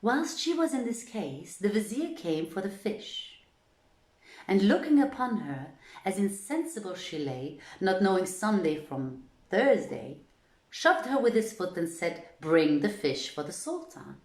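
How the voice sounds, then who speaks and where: A woman reading a literary tale as a storyteller, with measured, dramatic and deliberate phrasing. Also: medium pitch, very animated, darkish tone, echoey room. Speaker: storyteller, reader.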